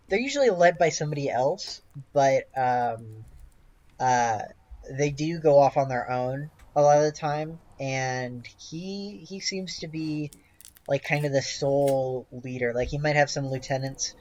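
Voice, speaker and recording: A man narrating an improvised tabletop game as game master, with a hesitant, halting delivery. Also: on the high side, a little mellow, muffled recording.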